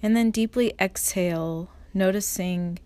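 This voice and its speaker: A woman leading a guided meditation as an instructor, speaking in a calm, measured, soft way with pauses. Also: mid-range voice, dry recording.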